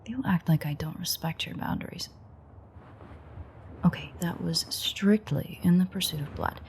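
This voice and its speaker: A woman's voice: fairly low pitch, measured pace, a little mellow. A woman playing a playful, flirtatiously menacing ancient vampire in an intimate roleplay audio drama, murmuring wryly and often close to a whisper. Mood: teasing, hungry. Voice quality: breathy, husky, often near-whisper. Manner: teasing, wry, murmured.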